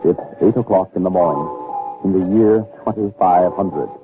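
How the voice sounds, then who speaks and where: A thin vintage radio broadcast carries a male announcer over prominent music. He reads scripted narration in a measured, formal, dramatic manner typical of early 1960s radio drama. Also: deep voice, phone-quality sound.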